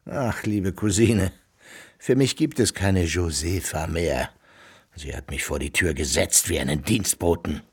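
An actor playing a self-assured, amorous middle-aged baron with courtly manners in a German radio drama. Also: male voice, low voice, unhurried, slightly rough, exaggerated voice. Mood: flirtatious.

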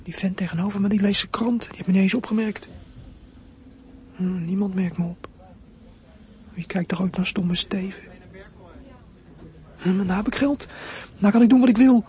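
A man's voice: high voice, moderate pace, pauses often, warm tone, slight background noise, close to an everyday voice. A young man playing a troubled, resentful teenage runaway in a radio drama, muttering his thoughts and flaring up in indignation. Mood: defiant, resentful. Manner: muttering to himself, bursts of indignation. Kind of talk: radio drama acting with inner monologue.

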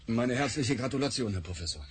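A man's voice: medium pitch, animated, quick pace, a little hiss or hum, close to an everyday voice. An actor playing a cold, pragmatic male scientist in a radio drama, clinical in manner.

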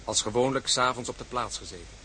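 An actor playing a hearty, loyal and blunt ship's mate in a Dutch radio drama. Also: male voice, mid-range voice, quick pace, heavy background noise, lightly acted. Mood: quiet, reporting.

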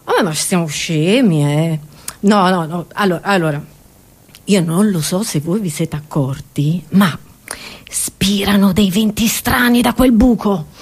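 A woman's voice: lowish voice, a little slow, slightly rough. A woman performing a theatrical comic monologue to an audience, scatterbrained and exclamatory, often breaking off and repeating her words.

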